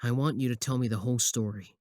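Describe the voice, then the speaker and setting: A man's voice: mid-range voice, fairly even intonation, fast, a little mellow, dry recording, studio quality, natural voice. A young man acting a possessive, obsessive student admirer in a scripted ASMR roleplay, sweet yet menacing. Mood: tender, concerned.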